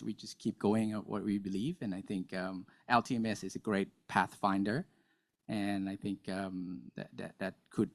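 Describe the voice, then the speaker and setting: Panelist man, lowish voice, casual and hesitant. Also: darkish tone. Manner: informal, chatty, hesitant phrasing. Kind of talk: panel discussion.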